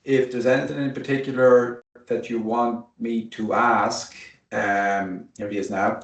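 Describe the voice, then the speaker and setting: A man's voice: mid-range voice, level tone, unhurried, creaky. A man hosts an online interview over a video call in a loose, chatty way, with jokes at his own expense. His voice sits in the middle range. It sounds roomy and a little distant from the mic. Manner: chatty, jokey and self-deprecating. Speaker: host of an online webinar. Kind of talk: casual interview hosting.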